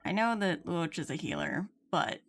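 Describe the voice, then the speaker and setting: A woman's voice: fairly low pitch, normal speed. A woman streamer giving casual, playful and teasing commentary while she plays a game. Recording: game music under the voice. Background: game music.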